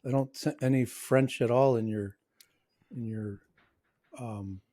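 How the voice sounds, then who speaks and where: A man's voice: mid-range voice, fairly even intonation, a little slow, mellow, no echo. A man with a mixed Midwestern and New York accent hosting a casual podcast interview, rambling with lots of restarts and fillers. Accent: Midwestern and New York American. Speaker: podcast host.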